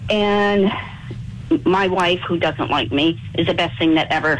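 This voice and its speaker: A woman's voice: fairly low pitch, unhurried, a little hiss or hum, low-quality recording. A woman calling in for advice on a radio show, earnest and repeating herself as she admits her faults. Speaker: caller.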